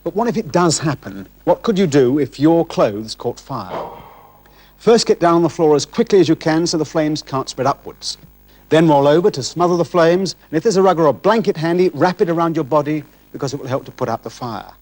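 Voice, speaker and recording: A man giving measured, serious, scripted instruction as narrator of a 1970s television public information film. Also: fairly high pitch, lively intonation, a little mellow.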